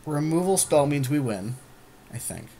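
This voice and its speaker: A man's voice: a little high, very animated, moderate pace, vocal fry, dark tone, a little hiss or hum. A man co-hosting a gaming podcast, chatting casually in short, agreeable remarks while playing a card game. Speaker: podcast co-host commentating on own card game play.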